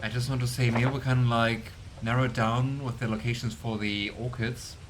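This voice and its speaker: A man's voice: little pitch movement, normal speed, background noise. Musing young man commenting on a game. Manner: musing, unsure, drifts into low mumbles. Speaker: let's play host. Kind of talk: casual gameplay commentary.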